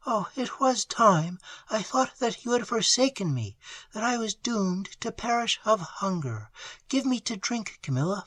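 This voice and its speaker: A woman's voice: fairly high pitch, a bit bright, dry recording, full character voice. A woman voicing a starving young woman held captive in a dungeon, speaking weakly and pleadingly in a dramatized audiobook. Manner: pleading, weak, then frantic with hope.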